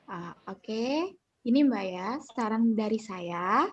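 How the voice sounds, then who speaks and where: A woman playing a gentle group counselor. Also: mid-range voice, expressive, slow pace, slightly rough, no room sound. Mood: steady. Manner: gentle, reassuring, with polite fillers. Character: calm female counselor, 35, leading a group. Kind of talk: student counseling roleplay. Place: video call. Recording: dull, dry video-call audio.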